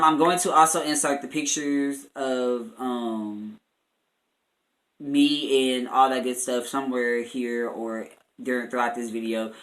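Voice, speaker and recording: A man's voice: medium pitch, a bit bright, low-quality recording. A man telling a personal story to viewers in a casual, chatty, meandering way, leaning heavily on filler words and repetition. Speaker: vlogger and online shop owner.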